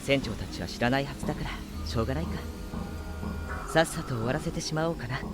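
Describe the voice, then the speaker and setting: An actor playing a calm, perceptive young spaceship crewman with gentle, composed speech in a Japanese audio drama. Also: mid-range voice, fast, loud music behind the voice, lightly acted. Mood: musing.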